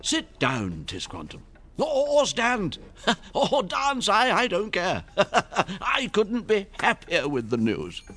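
Effusive, swooping English gentleman. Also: high-pitched, wildly swooping pitch, takes it slowly, exaggerated voice. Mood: elated. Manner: effusive, stammering, chuckling. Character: jovial, excitable, fond older English gentleman merchant. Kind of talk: radio drama acting. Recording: radio drama with faint music.

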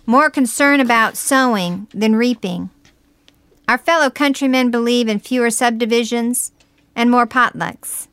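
A woman with a smooth, clear and fairly high voice reads a scripted reflective essay. Her delivery is measured and plain-spoken, with a folksy turn, and the sound is dry and close. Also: fairly bright tone, no room sound.

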